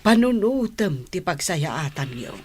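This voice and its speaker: A woman's voice: fairly low pitch, very animated, unhurried, slightly rough, heavily acted. A woman acting a worried, loving older mother admonishing her child in an Ilocano Filipino radio drama. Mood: pleading, admonishing.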